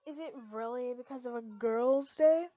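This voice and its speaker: Woman acting dramatic high-pitched teenage best friend. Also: normal speed, warm tone, lightly acted. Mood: suspicious, shocked. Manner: bawling, exclamatory, dramatic. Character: emotional, dramatic teenage girl, the heroine's clingy best friend. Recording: dull lo-fi home recording.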